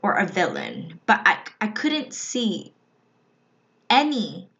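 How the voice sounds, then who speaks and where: A woman from the Philippines vlogging in a casual, reflective way, often pausing and restarting her thoughts. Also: medium pitch, a bit bright. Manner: slow, reflective, with frequent restarts. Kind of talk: personal casual vlog talk. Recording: slightly dull lo-fi recording.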